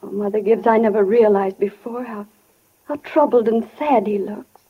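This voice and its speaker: A woman's voice: medium pitch, moderate pace, slightly rough, close to an everyday voice. An actress playing a wistful young wife and mother who has died and yearns for life, in 1940s radio acting. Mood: weary, troubled. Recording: dull vintage 1940 radio recording.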